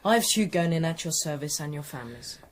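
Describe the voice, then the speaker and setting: A man's voice: on the high side, animated, a little fast, a little hiss or hum, lightly acted. A male performer playing a polite, proud young boy of noble birth in a radio drama, formal and courteous in speech.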